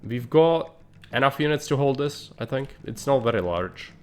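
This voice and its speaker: A man's voice: mid-range voice, lively intonation, moderate pace, a little mellow. A man streaming live, thinking aloud in dry, blunt remarks as he plans a city build. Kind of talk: live gameplay commentary.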